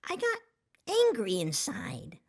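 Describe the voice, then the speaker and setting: A woman's voice: fairly high pitch, huge pitch swings, slow pace, voice pushed up, exaggerated voice. A woman voicing an earnest, polite little boy in a children's storybook read-aloud. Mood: earnest, unsettled.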